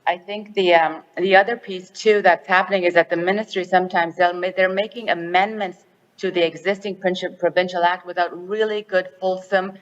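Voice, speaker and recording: A woman's voice: lowish voice, fairly even intonation, a little fast, long reverb tail, highs cut off. A woman chairing a directors forum, speaking earnestly to an assembly by phone and explaining a resolution with frequent restarts. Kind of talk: formal assembly remarks by phone.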